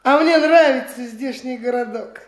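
A woman's voice: high voice, normal speed, reverberant, lightly acted. A woman playing a boastful, flighty young man posing as an official, condescending to the locals. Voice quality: swaggering, lively, condescending. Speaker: actor in a radio play. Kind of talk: stage radio play acting.

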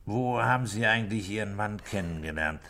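An actor playing a calm, middle-aged police inspector in a radio drama, questioning in a measured, dry way. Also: male voice, fairly low pitch. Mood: probing. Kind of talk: 1960s German radio drama acting.

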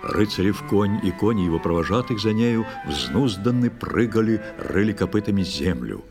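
Low, very expressive male storyteller over music. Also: moderate pace. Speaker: narrator. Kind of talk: expressive scripted verse storytelling. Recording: music behind the voice. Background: clear instrumental music.

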